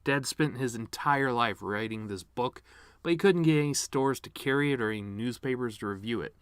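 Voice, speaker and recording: A man playing a bitter, wounded middle-aged TV star in a sardonic, theatrical eulogy monologue, swinging between outbursts and hushed asides. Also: mid-range voice, moderate pace, clean tone, dry recording, clean studio sound, lightly acted. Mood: exasperated. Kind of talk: dramatic monologue reading, fan performance.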